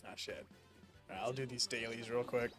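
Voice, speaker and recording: A man's voice: mid-range voice, brisk, over music. A young man from the United States chatting casually while gaming, rambling with lots of fillers and casual swearing. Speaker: streamer.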